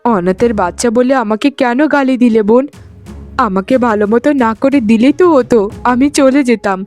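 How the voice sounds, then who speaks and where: A woman's voice: a little high, brisk, a little mellow, natural voice. A woman voicing a meek, wounded young orphaned wife in a cartoon, plaintive and tearful in a melodramatic style. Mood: hurt, tearful. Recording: music behind the voice. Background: music.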